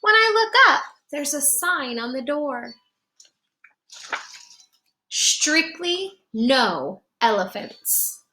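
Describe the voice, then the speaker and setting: High, animated woman reading to children. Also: background noise. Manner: expressive storytelling with asides addressed to listeners. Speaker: children's storybook reader. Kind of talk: read-aloud picture book narration for young children.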